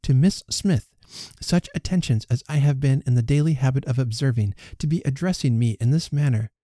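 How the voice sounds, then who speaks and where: A man reading the part of a proud young gentlewoman who firmly rejects a suitor, speaking crisply and emphatically. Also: medium pitch, fairly quick, no room sound, studio quality, natural voice. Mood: cold reproach. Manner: crisp, emphatic, rising protest.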